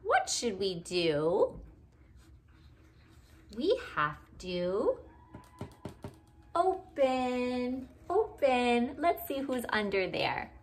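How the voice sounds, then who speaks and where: Very slow, sing-song woman reading to children. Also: on the high side, sing-song, slight background noise. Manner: simple, exaggerated child-directed speech with questions and short phrases. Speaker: children's teacher reading a picture book aloud. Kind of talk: interactive read-aloud for young children. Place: living room at home, indoors.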